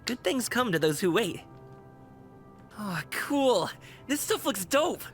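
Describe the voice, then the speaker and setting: An actress playing an easygoing, eager young delivery worker in a video game, casual and bouncy. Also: female voice, on the high side, big pitch swings, slow pace, faint music behind, full character voice. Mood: cheerful, eager. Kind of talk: video game character voice acting.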